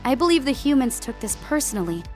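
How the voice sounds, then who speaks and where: Woman playing a high, wry alien army recruit. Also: big pitch swings, fast, darkish tone. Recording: music under the voice.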